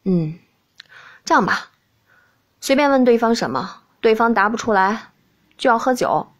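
A man's voice: extremely high pitch, expressive, measured pace, fairly bright tone, voice pushed down, exaggerated voice. A woman playing a teasing, nonchalant young prince in an audiobook. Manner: nonchalant, slurred when drunk. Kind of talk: audiobook character voice.

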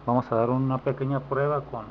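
A man's voice: medium pitch, flat delivery, brisk. Man demonstrating gear, dull noisy audio. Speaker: repair technician demonstrating a radio amplifier. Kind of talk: informal hands-on video demonstration. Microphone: handheld camera microphone. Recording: dull lo-fi camera audio, clear background noise.

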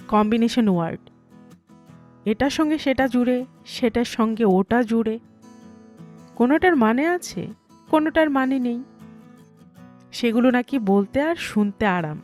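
A woman's voice: fairly high pitch, animated, measured pace, pauses often, darkish tone, soft background music. A woman reading a first-person story aloud as an audiobook narrator.

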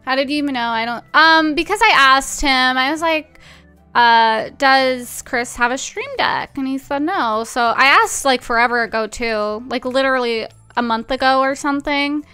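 A woman's voice: high-pitched, moderate pace, bright, forceful tone, clear, resonant tone, soft background music. A woman in her twenties chatting casually and informally as she streams a game, with plenty of "like" in her speech. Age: 20s. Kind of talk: casual live-stream chat while playing a game. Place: small indoor room at home.

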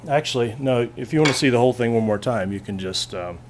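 A man's voice: mid-range voice, fast talker, background noise. A male physics teacher at a classroom lab bench, plainly talking through a hands-on demonstration and thinking aloud as he goes.

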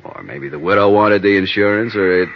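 Low-voiced, wry police sergeant. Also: male voice, a little fast, gravelly, a little hiss or hum. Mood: wry, dry. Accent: American. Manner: matter-of-fact, dry, clipped reporting. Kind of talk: 1950s American radio drama acting. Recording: dull old-time radio recording.

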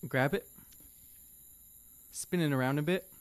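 A man's voice: on the high side, unhurried, no room sound. A young man chatting casually and improvising as a player in a tabletop game, close on a podcast mic. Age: young adult. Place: small studio room, indoors. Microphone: close podcast microphone on a stand.

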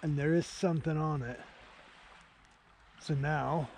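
Guy in his 40s chatting to camera outside, slow talker, kinda high voice, into it, noisy sound. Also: fairly even intonation. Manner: informal, enthusiastic, peppered with "man" and "dude". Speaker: angling vlogger. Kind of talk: casual running commentary to camera while fishing. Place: outdoors at night on a beach by a small shelter. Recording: clearly noisy outdoor location sound.

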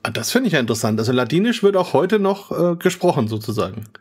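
A man's voice: on the high side, fast talker, slightly rough. Man describing a beer tasting. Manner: descriptive, savoring, relaxed. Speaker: podcast host.

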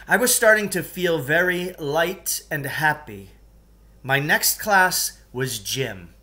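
Bright-voiced middle-aged man reading a story. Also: a little high, animated, takes it slowly. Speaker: teacher reading a book aloud. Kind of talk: expressive audiobook-style storytelling in first person. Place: living room at home, indoors.

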